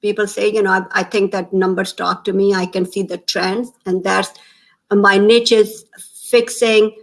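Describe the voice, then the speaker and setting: Pakistani lady in her 50s, gravelly voice, earnest, lots of ums, mid pitch. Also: level tone. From Pakistan (Lahore, Punjab). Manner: earnest, many "um" pauses, emphatic lists. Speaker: guest, town comptroller. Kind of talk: candid interview talk.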